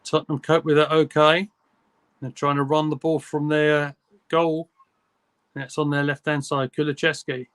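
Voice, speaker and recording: Higher-voiced fan calling a match. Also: male voice, level tone, fairly bright tone, clear, resonant tone. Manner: brisk, clipped match calls. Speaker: fan commentator. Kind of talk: live play-by-play watchalong commentary.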